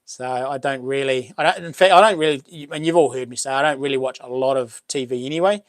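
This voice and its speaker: A middle-aged man with a New Zealand accent and a boomy voice, hosting a relaxed livestream chat, rambling with many ums and restarts as he reads out viewer comments. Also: fast talker, no echo, clean studio sound. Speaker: livestream host. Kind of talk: casual live chat reading comments.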